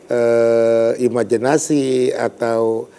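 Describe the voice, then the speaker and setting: A man's voice: medium pitch, level tone, drawn-out words, mellow, clean tone. A man who is a storyteller and teacher, explaining hesitantly in a TV studio interview and searching for words with many fillers.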